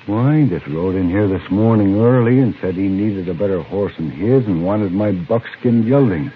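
An easygoing, plainspoken Old West rancher with a deep voice and a rural American drawl, acted by a man. He talks in the folksy style of a radio western, on a narrow, slightly hissy vintage recording. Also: animated, normal speed, close to an everyday voice. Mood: puzzled. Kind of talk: 1950s radio western acting.

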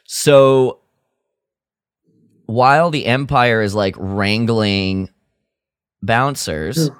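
A man's voice: mid-range voice, drawn-out words, clean tone, dry recording, clean studio sound. A man co-hosting a podcast, chatting casually and jokingly as he recaps and critiques a story with some exasperation. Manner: exasperated, jokey, mimics characters' lines. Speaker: podcast co-host. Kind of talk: casual chat, recapping and critiquing a radio drama.

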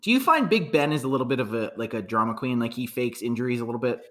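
A man's voice: mid-range voice, expressive, fast talker. A man from Canada chatting casually about football, frank and rambling, swearing freely. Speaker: podcast co-host. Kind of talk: casual sports chat.

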